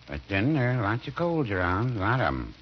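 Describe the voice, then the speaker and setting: Middle-aged 1940s radio druggist: dry, evasive, hesitant, on the low side, muffled vintage sound. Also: male voice, very animated, brisk, a little hiss or hum. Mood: mild, chatty. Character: mild, evasive, unhurried small-town druggist. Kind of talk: 1940s radio comedy acting.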